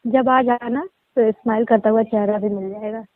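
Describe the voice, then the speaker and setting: A woman's voice: on the high side, steady tone, normal speed, dry recording. A young woman in a casual phone conversation, giving terse, guarded replies. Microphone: phone line. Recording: tinny, muffled phone line.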